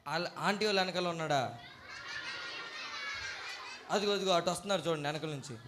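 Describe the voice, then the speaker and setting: A man's voice: a little high, brisk. A man hosting a church children's puppet show, exclamatory and calling out questions to the children. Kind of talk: lively talk with children and a puppet. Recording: noisy live event with crowd. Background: children's crowd and loud noise.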